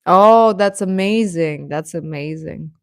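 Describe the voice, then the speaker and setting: A woman's voice: lowish voice, takes it slowly, no room sound, studio quality. A woman chatting casually as a livestream host, talking directly to viewers and often repeating her phrases.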